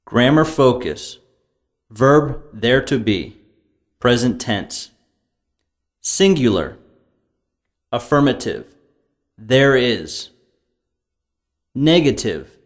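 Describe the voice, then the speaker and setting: A man narrating a language course, reading scripted grammar terms and vocabulary in a deliberate, clearly enunciated way. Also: mid-range voice, sing-song. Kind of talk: scripted lesson reading, word drills.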